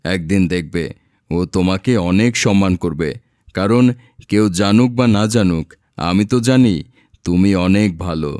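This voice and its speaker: Man playing a low-voiced gentle cartoon father. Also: moderate pace, pauses often, no echo, studio quality, close to an everyday voice. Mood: reassuring. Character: gentle, reassuring village father and husband. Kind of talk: animated moral story voice acting.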